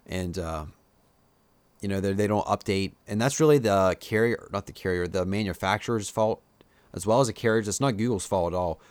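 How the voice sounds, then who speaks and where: Low-voiced rambling man with Pennsylvania Dutch accent. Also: brisk, clear, resonant tone, no room sound. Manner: rambling, filler-heavy, opinionated. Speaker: YouTuber. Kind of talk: casual live-stream commentary.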